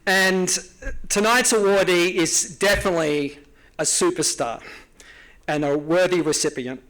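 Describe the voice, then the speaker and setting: This is an Australian man presenting an award with a prepared speech. His voice sits a little high for a man, and his tone is earnest and formal, with small hesitations along the way. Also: measured pace, a bit bright, rough recording. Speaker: award presenter, nonprofit founder.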